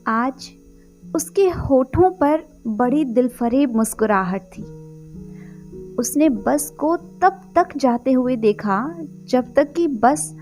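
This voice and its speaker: A woman storyteller with a high voice delivers dramatic scripted narration at a slow, deliberate pace. Soft music plays behind her expressive reading.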